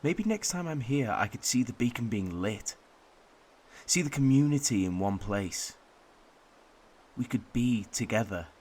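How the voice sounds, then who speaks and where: A young man acting a wistful, homesick twenty-two-year-old in a gentle, reflective radio drama monologue. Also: medium pitch, animated, unhurried, pauses often. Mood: warmly nostalgic.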